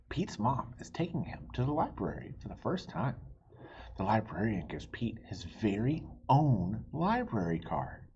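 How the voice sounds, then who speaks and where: Very low-voiced woman reading a storybook. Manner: expressive, speaking directly to young listeners. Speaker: read-aloud storybook reader and host. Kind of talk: children's picture-book read-aloud with friendly asides. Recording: dull lo-fi sound with faint noise.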